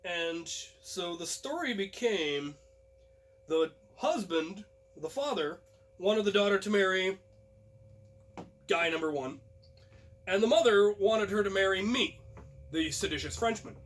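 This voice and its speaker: A man telling a personal anecdote casually, in an animated storyteller's way. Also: high voice, measured pace, pauses often. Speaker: storyteller, host of a story series.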